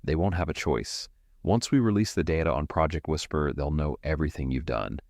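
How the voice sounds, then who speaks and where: A man plays a resolute thriller hero with an extremely deep, creaky voice. He is defiant and emphatic in audio drama style, recorded dry and close. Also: brisk, darkish tone, dry recording.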